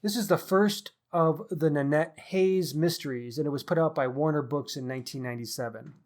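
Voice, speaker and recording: A man's voice: a little high, a little fast. A man co-hosting a podcast, talking through a book review. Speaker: podcast co-host.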